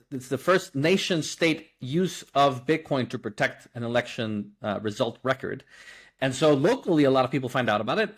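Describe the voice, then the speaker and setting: A middle-aged man from Guatemala speaks as an interview guest, earnest and explanatory. His voice sits at an ordinary middle pitch. He often restarts phrases and leans on fillers while he thinks. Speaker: guest, company co-founder. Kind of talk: conversational interview.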